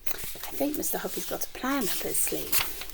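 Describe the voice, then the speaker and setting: A woman's voice: mid-range voice, normal speed, creaky, background noise. A woman reading a children's story aloud like a teacher, expressively, with chatty asides to her young listeners. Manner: animated, acts out dialogue, chatty asides.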